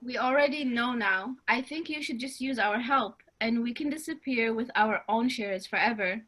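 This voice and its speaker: A woman reads as a keen high school girl, persuasive and sure. Her voice is fairly high with a bright edge, in an amateur table read. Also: normal speed, poor audio.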